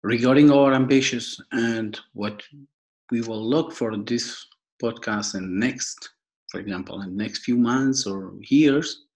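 A young man from Afghanistan with an Afghan accent, giving a prepared podcast introduction in a formal, halting way, often repeating and rephrasing. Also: medium pitch, a little mellow, low-quality recording. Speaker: podcast host and foundation worker.

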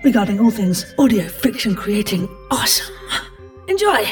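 A woman's voice: mid-range voice, big pitch swings, moderate pace, faint music behind. A woman presenting and interviewing as an upbeat podcast host.

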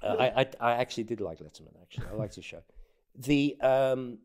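A man speaking as an interview guest in casual anecdotal talk, often halting and repeating words as he goes. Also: medium pitch. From born in North Africa, has lived in England and the United States. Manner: halting, stammered repetitions of words. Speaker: interview guest, former presidential candidate.

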